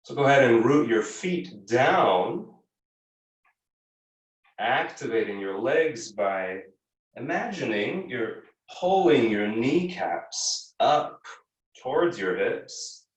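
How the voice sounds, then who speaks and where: Slow-paced male yoga teacher, echoey room. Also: medium pitch, sing-song, dull audio. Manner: measured, gentle instructing with frequent pauses. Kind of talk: guided yoga class instruction. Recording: echoey room, distant microphone.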